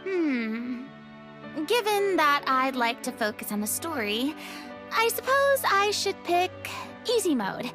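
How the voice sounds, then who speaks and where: High, hesitant, dainty young lady. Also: big pitch swings, takes it slowly, music in the background, heavily acted. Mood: apprehensive. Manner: hesitant, polite, thoughtful. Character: earnest, dainty young woman performer, unsure newcomer. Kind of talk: video game voice acting.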